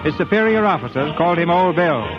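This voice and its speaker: An American man hosting and narrating a 1940s radio theater program in a formal, measured, polished announcer style. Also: sits high, expressive, dull audio, over music. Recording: dry, band-limited 1940s radio broadcast.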